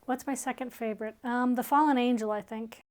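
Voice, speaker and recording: A woman's voice: on the high side, steady tone, fairly quick, darkish tone. A woman co-presenting in a casual, conversational style with short comments. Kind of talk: casual chat.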